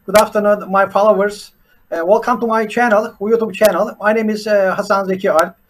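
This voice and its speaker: A man's voice: high-pitched, steady tone, slight background noise. A man from Turkey, a native Turkish speaker with a Turkish accent, giving an unscripted presentation as a programming teacher, stopping on "uh" between nearly every few words. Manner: halting, with constant "uh" fillers between words. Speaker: programming teacher and channel host. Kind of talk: unscripted screen-share presentation.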